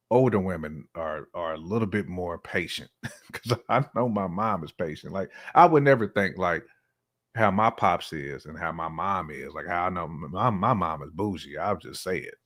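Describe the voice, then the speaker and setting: A man's voice: low-pitched, lively intonation, normal speed, a bit bright. A man chatting casually and slangily, often acting out imagined quotes as he gives relationship opinions. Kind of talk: casual conversational chat.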